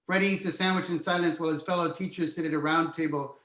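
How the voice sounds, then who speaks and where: A man's voice: a little high, fairly even intonation, quick pace, a bit bright, roomy sound. A man narrating stage directions in a scripted screenplay table read.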